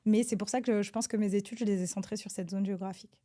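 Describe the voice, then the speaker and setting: A woman from France, a government advisor, answers interview questions in a hesitant, halting way with many filler sounds and restarts. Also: medium pitch, steady tone, dark tone. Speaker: government advisor, podcast guest.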